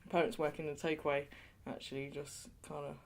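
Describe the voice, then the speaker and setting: A woman's voice: low voice, little pitch movement, fast talker, creaky. A woman from England being interviewed, talking in a chatty way with lots of "you know" and light laughs. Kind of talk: oral history interview.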